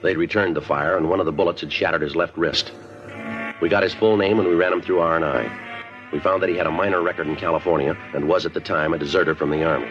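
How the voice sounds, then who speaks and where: A man's voice: sits low, steady tone, quick pace, over music, close to an everyday voice. An American man playing a terse, no-nonsense police detective who also narrates, clipped and matter-of-fact, in a 1950s radio crime drama. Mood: flat, businesslike. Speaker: actor. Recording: muffled vintage 1950s radio recording.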